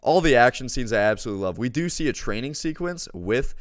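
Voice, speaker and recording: A man's voice: mid-range voice, lively intonation, fast talker, no echo, studio quality. A man chatting casually as a film reviewer, enthusiastic and peppering his talk with "dude". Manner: enthusiastic, says dude often. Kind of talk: casual chat movie review.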